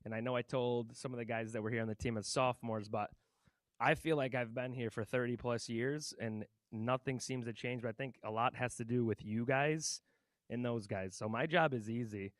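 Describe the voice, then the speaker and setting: A man, an assistant coach and PE teacher, giving a grateful, loud, rapid-fire thank-you speech at a team ceremony. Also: medium pitch, fairly even intonation, no room sound.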